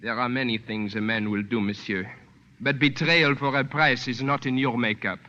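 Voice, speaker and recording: An actor playing an earnest French resistance man in a 1940s radio drama, formal, measured and courteous. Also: mid-range voice, steady tone, sharp tone, lightly acted. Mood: earnest, dignified. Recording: dull old radio recording.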